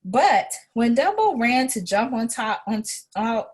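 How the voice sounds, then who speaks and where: A woman reading a children's story aloud in an expressive way, as an educator would to a young listener. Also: fairly high pitch, unhurried, breathy edge.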